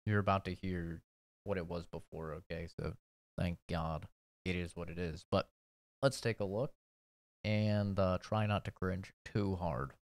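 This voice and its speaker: A young man in his twenties talks in a low, smooth voice, easygoing and chatty, teasing himself as he goes. The sound is close and dry, with no room behind it. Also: fairly even intonation, measured pace, no room sound. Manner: laid-back, chatty, self-mocking. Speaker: YouTuber reacting to his old video. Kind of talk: casual reaction commentary.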